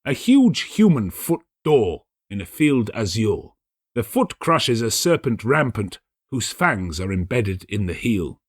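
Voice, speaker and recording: A man's voice: fairly low pitch, sing-song, takes it slowly, no room sound, studio quality, full character voice. A man voicing a scheming aristocrat who feigns courteous concern in a dramatic audiobook, speaking formally and insistently. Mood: formal, proud. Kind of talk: dramatic audiobook character reading.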